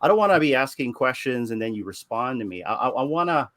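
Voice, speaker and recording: A man talking casually on a video call, halting and repeating words as he tells a story. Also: mid-range voice, expressive, a bit bright, no echo. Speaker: podcast host guest.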